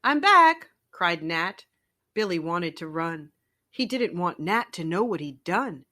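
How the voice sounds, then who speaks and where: A woman storyteller reading a children's picture book aloud in an expressive narrating style. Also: on the low side, a little slow.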